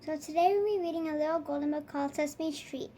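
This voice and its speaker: High-pitched child reading a storybook aloud. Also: fast talker, dark tone, slight background noise. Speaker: storybook reader.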